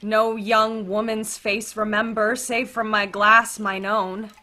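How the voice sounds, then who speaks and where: Radio play: female actor as sheltered, tender young maiden, slow, bright, pleading. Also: mid-range voice, flat delivery. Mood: shy candour. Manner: earnest, pleading. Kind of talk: radio play acting of Shakespeare.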